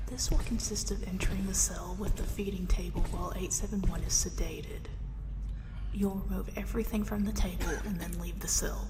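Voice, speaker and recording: A woman acting a stern, cold facility commander, giving a formal, authoritative briefing in an audio drama. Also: fairly low pitch. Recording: echoey hall, noisy background ambience.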